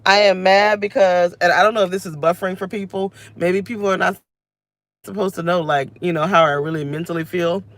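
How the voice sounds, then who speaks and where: A woman's voice: fairly low pitch, steady tone, fairly quick, no room sound. Scratchy-voiced woman venting in her car. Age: 30s. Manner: venting, emotional, restless, self-interrupting. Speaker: vlogger. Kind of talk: unscripted personal vlog rant. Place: inside her parked car. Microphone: phone camera held close.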